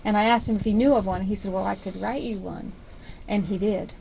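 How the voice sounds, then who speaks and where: A woman's voice: mid-range voice, brisk, vocal fry, darkish tone. Woman radio guest, noisy background. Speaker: event organiser, interview guest. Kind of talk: casual radio interview.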